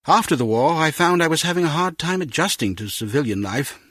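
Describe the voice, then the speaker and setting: An actor voicing a courteous middle-aged spymaster in a video game, speaking in a measured, formal, gentlemanly way. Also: male voice, fairly high pitch, animated, husky, no echo, clean studio sound. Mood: reassuring, reflective. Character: courteous middle-aged British spymaster, encouraging ex-field agent. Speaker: spy agency chief.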